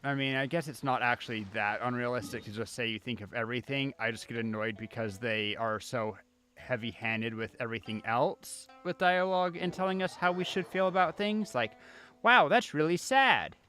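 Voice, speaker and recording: A man's voice: mid-range voice, normal speed, pushed and bright. A man livestreaming a video game with casual, wry, grumbling commentary. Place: inside a cold house. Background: game music.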